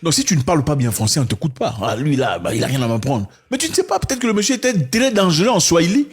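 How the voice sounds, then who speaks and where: Man, husky voice, impassioned discussion, animated, mimics others in high bursts. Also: quick pace. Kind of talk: impassioned conversation.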